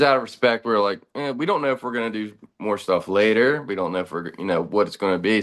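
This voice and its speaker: A man in his thirties, a band's bass player, talking in a relaxed, rambling way during a casual chat. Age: 30s.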